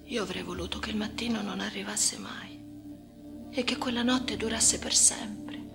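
Casting: woman playing a troubled, defiant young woman, intense radio-drama monologue, medium pitch, clear music underneath. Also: moderate pace, natural voice. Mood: wistful, hushed. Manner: intense, swinging between hushed and agitated. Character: troubled, defiant young woman recounting her hospital confinement.

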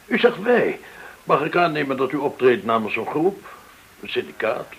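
Genial, swooping-voiced gold dealer. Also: male voice, medium pitch, sing-song, fairly bright tone, slightly rough, full character voice, rough recording. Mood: courteous, probing. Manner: effusively polite, theatrical, measured. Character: genial, courteous, smooth-talking male gold dealer, shrewd host. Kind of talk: radio drama acting. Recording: hissy old radio drama recording.

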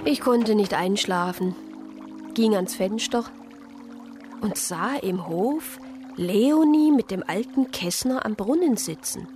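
A woman's voice: mid-range voice, lively intonation, slow, music in the background. An actress playing a reflective woman who narrates a radio drama soberly in the first person. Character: reflective woman narrator recalling her journey.

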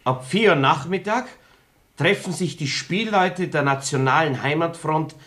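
An actor playing an indignant, pompous republican pub regular in a radio play, with declamatory phrasing. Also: male voice, fairly high pitch, unhurried, a bit bright. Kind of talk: stage-like radio play acting.

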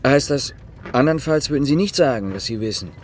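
An actor playing an insistent East German police investigator in a radio drama, asking formal, pressing questions in a slightly husky voice. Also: male voice, mid-range voice, animated, fairly quick, slight background noise, lightly acted. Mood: restrained.

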